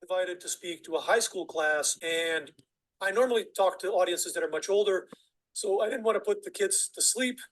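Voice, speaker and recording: High-voiced male video educator. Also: rough recording. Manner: clear, measured, instructive. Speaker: online nutrition educator. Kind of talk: scripted video narration.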